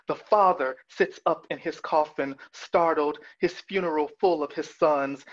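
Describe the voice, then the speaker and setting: A man in his thirties reading poetry with deliberate, measured phrasing over a video call. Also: fairly high pitch. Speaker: poet. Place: small room at home with bookshelf. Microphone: video call, wearing headphones. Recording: dull, lo-fi video call audio.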